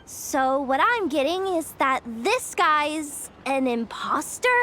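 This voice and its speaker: A woman voicing a curious young female adventurer in a video game, musing in a measured manner. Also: sits high, big pitch swings, sharp tone, slight background noise, heavily acted. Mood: puzzled.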